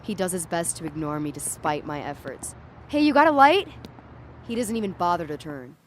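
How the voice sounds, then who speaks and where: Woman acting uneasy young woman telling her night walk in first person, lowish voice, audio drama, traffic noise behind. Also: expressive, normal speed, lightly acted. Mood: angry, defiant. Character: uneasy, tripping young woman walking home at night, defiant. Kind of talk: first-person present-tense dramatic narration. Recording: clear street and traffic ambience behind.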